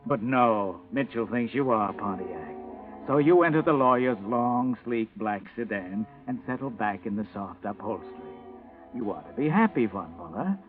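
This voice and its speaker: An actor playing a sinister, all-knowing narrator of a 1940s radio mystery, taunting and ominous, on a thin old recording with music. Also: male voice, on the low side, expressive, normal speed, narrow, tinny audio, lightly acted. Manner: taunting, ominous, second-person address. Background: prominent dramatic music.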